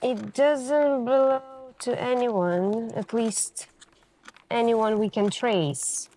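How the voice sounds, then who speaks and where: A woman playing a calm, insistent police detective in an ASMR interrogation roleplay, speaking in a hushed, deliberate way with pauses between short phrases. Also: on the high side, slight background noise. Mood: ominous.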